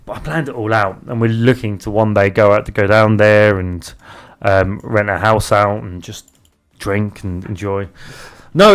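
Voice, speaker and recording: A young British man streaming live, chatting casually and haltingly as he reads out viewer messages. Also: mid-range voice, clear, resonant tone. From Britain. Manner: rambling, halting, restarts often while reading viewer chat aloud. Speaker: live streamer and game developer.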